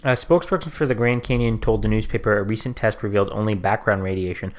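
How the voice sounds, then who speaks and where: A man's voice: fairly low pitch, steady tone, fast. A man co-hosting a casual podcast, getting worked up and swearing as he talks. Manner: gets worked up, swears when ranting. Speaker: podcast co-host.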